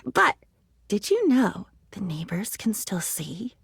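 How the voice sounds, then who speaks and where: A woman's voice: medium pitch, huge pitch swings, takes it slowly, vocal fry, breathy edge, dry recording, clean studio sound, exaggerated voice. A woman voicing an anxious, lonely living diary in a video game, rambling and disjointed, lurching between moods and volumes in a theatrical way. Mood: airy, unsettled.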